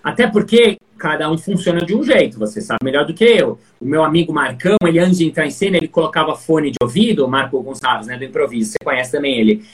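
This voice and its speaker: A man's voice: a little high, fast, few pauses. A middle-aged man who coaches public speaking, talking casually in an interview and telling stories with lots of fillers and restarts. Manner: storytelling, many fillers and restarts, animated examples.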